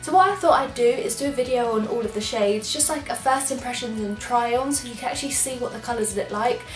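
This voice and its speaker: Young English woman, slightly high voice, nasally from a cold, bubbly beauty vlogger chat in an echoey room, faint music under. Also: fast. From England. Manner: chatty, enthusiastic, apologetic asides. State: congested from a cold. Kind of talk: casual product try-on chat.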